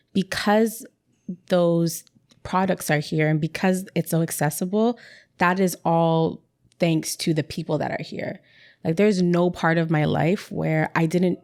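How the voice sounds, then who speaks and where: A woman's voice: sits low, darkish tone. A young adult woman, a guest in a casual interview, talking in a chatty way with many "like" fillers and repeated words. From Canada.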